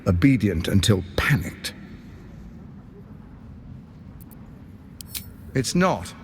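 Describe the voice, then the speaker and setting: Game villain lord, calculating, grandiose, low register, very expressive delivery, measured persuasion. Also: male voice, slight background noise. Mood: grave, imperious. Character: suave, calculating male tyrant lord courting an ally. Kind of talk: dramatic video game character acting.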